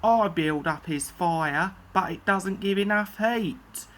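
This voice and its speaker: A woman voicing a loyal male railway fireman in a children's storybook, matter-of-fact, deferential and low in pitch. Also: slow pace, close to an everyday voice. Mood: grumbling.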